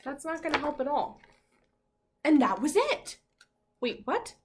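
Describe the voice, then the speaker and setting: Female primary teacher, read-aloud style. High, very lively delivery, encouraging, with questions to young listeners. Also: unhurried. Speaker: teacher reading aloud to children.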